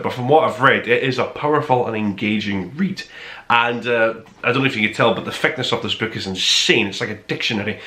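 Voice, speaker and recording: A man with a bright, forward voice and a middling pitch talks in a roomy, echoing indoor space. He presents a casual book review as the host, enthusiastic and effusive. His delivery is conversational and rambles along as if chatting straight to the viewer. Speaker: podcast host reviewing books. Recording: roomy, echoing room sound.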